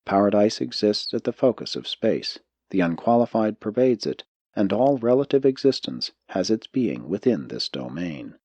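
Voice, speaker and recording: A man reading a spiritual text as an audiobook narrator, in measured, deliberate phrasing. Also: on the low side, very animated, warm tone, no echo.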